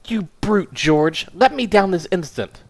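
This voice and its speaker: A man's voice: high-pitched, lively intonation, a little slow, voice pushed up. A man voicing a small, furious married woman who screams and scolds her husband. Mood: wailing. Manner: shrieking, scolding.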